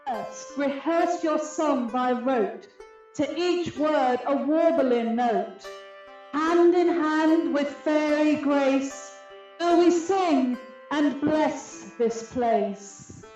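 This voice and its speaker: Woman as a graceful fairy queen, high voice, lilting verse, heavy echo, radio play. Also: drawn-out words, a little mellow. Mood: serene. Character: Titania, graceful fairy queen giving a blessing. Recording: very echoey, muffled, faint music behind.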